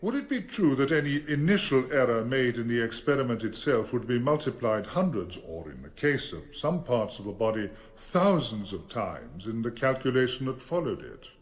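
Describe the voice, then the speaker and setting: A formidable barrister played by a male actor in a radio courtroom drama. He is grave and probing, choosing his words deliberately and pressing each question with authority. His pitch sits in a middle male range. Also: very animated, natural voice. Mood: measured, forensic. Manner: measured, deliberate, authoritative cross-examining.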